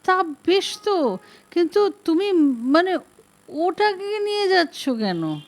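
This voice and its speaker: A woman's voice: extremely high pitch, big pitch swings, slow pace, voice pushed up, exaggerated voice. A woman reading an audiobook as an older male barrister, tipsy and exasperated, with sarcastic, theatrical mock formality. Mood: flustered protest. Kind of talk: audiobook detective story reading.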